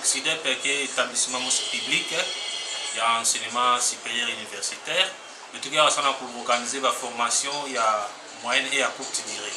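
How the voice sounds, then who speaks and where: A man's voice: mid-range voice, a little fast. A man with a Congolese French accent, a staff member listing courses somewhat hesitantly in an office. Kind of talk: informational statement. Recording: echoey with noise.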